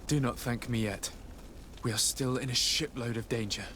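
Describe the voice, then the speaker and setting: An actor playing a courteous noble envoy in a fantasy game, speaking in a measured, formal way. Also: male voice, medium pitch, fairly even intonation, fairly bright tone, slight background noise, natural voice. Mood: composed. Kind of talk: scripted game cutscene acting.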